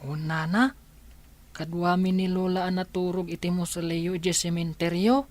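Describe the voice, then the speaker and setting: A woman playing a polite, helpful orphan child in an audio drama, respectful and gentle with elders. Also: lowish voice, little pitch movement, moderate pace, close to an everyday voice. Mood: subdued. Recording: loud traffic and vehicle noise.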